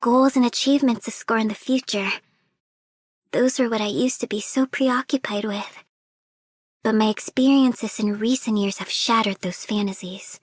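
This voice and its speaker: A woman voicing a gentle, earnest, soft-hearted woman in a game. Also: on the high side, steady tone, normal speed, breathy edge, dry recording, studio quality. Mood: humble, reflective. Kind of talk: game character voice line.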